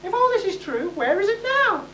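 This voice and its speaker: Woman playing a very high-pitched haughty princess. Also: sing-song, normal speed, sharp tone, heavily acted. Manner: imperious, exclaiming. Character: haughty, stubborn young pantomime princess. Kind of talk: amateur pantomime stage acting. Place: hall stage, distant microphone. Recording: echoey distant hall, faint noise.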